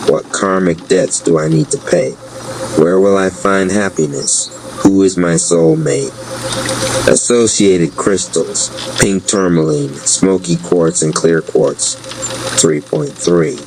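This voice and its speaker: A man reading a scripted guided meditation as a narrator, in a measured, flat reading manner. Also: low-pitched, gravelly, noisy background.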